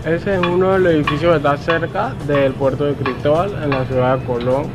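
A young adult man, presenting a vlog casually outdoors, pausing between short phrases. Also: fairly high pitch, steady tone, background noise. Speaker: vlogger. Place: outdoors on a rooftop above a city.

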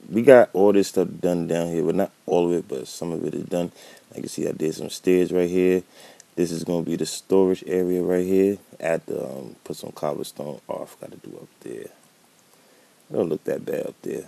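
A man's voice: deep voice, gravelly, mellow, no echo. A man giving laid-back commentary while playing a building game, thinking aloud as he works, with a slight cold. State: catching a cold. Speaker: gameplay commentator. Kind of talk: casual gameplay commentary.

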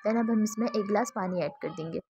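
A woman's voice: medium pitch, a little fast, vocal fry, warm tone, a little hiss or hum. A woman hosting a cooking tutorial, talking through each step in an easygoing, chatty way. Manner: easygoing, chatty, mixes English words into Hindi-Urdu. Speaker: cooking channel host.

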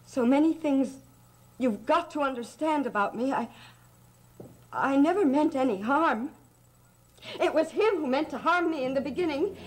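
A woman's voice: high voice, lively intonation, normal speed, pauses often, poor audio. An actress playing a frightened, haunted young woman in a gothic soap opera, pleading with breathless dread. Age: young adult.

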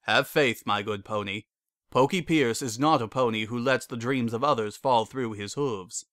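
A man's voice: mid-range voice, moderate pace, a bit bright, no room sound, close to an everyday voice. A man voicing a confident, boastful male pony who runs a restaurant, in a dry recording. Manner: boastful, reassuring.

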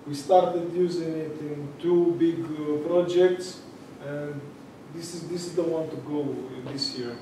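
A young man with a Romanian accent gives a meetup talk, stopping and restarting often with lots of fillers. His voice sits high and mellow, and he talks slowly. It is picked up from far off in a very echoey room. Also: fairly even intonation, slight background noise. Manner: halting, many fillers and restarts. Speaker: speaker at a tech meetup. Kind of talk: conference talk. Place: large room with audience. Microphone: far camera.

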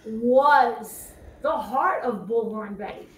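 High-voiced woman in a roomy, noisy clip. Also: slow pace. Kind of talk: opinionated livestream commentary.

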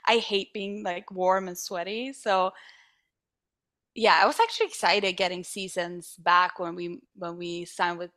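A woman's voice: lowish voice, a little slow. Chatty Swedish-accented young woman. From northern Sweden. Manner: animated, laughs often, acts out quoted voices. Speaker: podcast guest. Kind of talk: casual interview chat.

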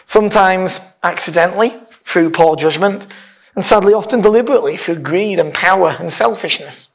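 A man's voice: high voice, normal speed, gravelly. Earnest male pastor. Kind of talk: informal sermon, partly reading aloud. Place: church hall, speaking from a lectern on stage.

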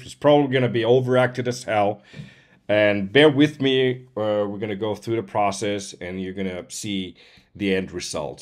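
A man in his forties from Finland, a slightly hoarse voice-over artist giving a casual demo. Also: fairly low pitch. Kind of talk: casual demo talk to camera. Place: indoors at home computer, seen wearing headset.

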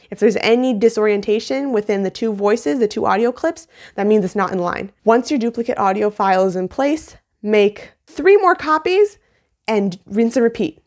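Young woman giving a playful tutorial. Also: mid-range voice. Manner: playful, self-deprecating humour. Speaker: tutorial host. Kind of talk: casual scripted video tutorial. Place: small indoor home room. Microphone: phone on a mount, about arm's length or a little more.

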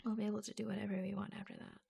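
A woman playing an affectionate, proud and reassuring secret office lover in an ASMR roleplay, speaking softly, breathily and hesitantly, often close to a whisper. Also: medium pitch, level tone, very fast, full character voice. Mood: wistful, affectionate. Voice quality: soft, breathy. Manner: hushed, hesitant, confiding.

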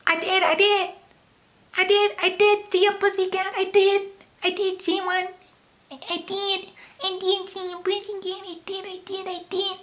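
A young man voicing a timid little bird in a very high, squeaky voice. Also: little pitch movement, a little slow, fairly bright tone. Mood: fretful. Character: high-pitched timid small male bird.

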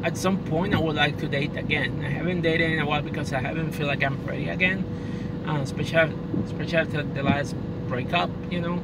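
A woman's voice: low-pitched, steady tone, quick pace. A middle-aged woman from Puerto Rico with a Puerto Rican accent, talking casually in a car vlog, rambling and thoughtful with lots of "you know" asides. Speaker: vlogger. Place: inside a car. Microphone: phone camera mounted on the dashboard. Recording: distant mic with loud car road noise.

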